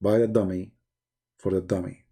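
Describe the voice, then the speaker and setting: Gravelly sarcastic man. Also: low-pitched, flat delivery, normal speed, warm tone. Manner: rambling, sarcastic, fillers like "you know". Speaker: channel host. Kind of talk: joking livestream chat with viewers.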